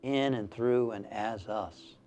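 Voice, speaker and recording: A man leading a guided meditation as a minister, speaking in a calm, soothing, measured way with long pauses. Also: fairly low pitch, faint music behind.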